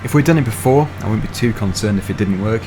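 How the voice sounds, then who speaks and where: A young man, slightly hoarse, chatting casually through a game while thinking aloud with fillers. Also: fairly low pitch, fast talker, background noise. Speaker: gaming channel host. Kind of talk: casual gameplay commentary.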